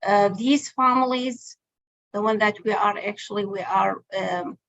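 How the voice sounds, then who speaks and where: A woman whose first language is Arabic, speaking on a panel in halting English with many pauses and fillers. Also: mid-range voice, fairly bright tone, rough recording. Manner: halting non-native phrasing, many fillers. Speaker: panelist, family support worker. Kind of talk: panel discussion talk.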